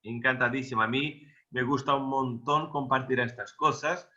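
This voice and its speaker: A man with a Portuguese accent gives an expert explanation, hammering points home with repetition and searching for words now and then. His voice sounds very bright and forceful, and the audio is dull and lo-fi. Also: mid-range voice, fairly even intonation, moderate pace. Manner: emphatic, repeats words for stress, hesitant over vocabulary. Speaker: electro-aesthetics expert guest. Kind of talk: technical explanation in a video interview.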